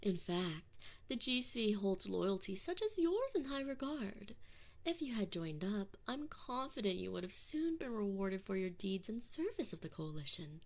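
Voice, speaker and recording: A woman's voice: medium pitch, sing-song. A woman voicing a sadistic, smug female alien enforcer in an ASMR roleplay, taunting and condescending, sometimes dropping to a whisper. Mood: mocking, condescending. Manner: slow, taunting, condescending, slipping into breathy whispers. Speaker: audio roleplay performer. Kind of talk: ASMR roleplay acting, addressing the listener.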